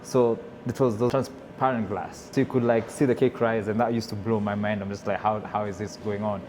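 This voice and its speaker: A man's voice: lowish voice, little pitch movement, brisk, a little mellow. Kenyan man chatting over background noise. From Nairobi, Kenya. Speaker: chef and restaurant owner. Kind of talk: casual interview conversation.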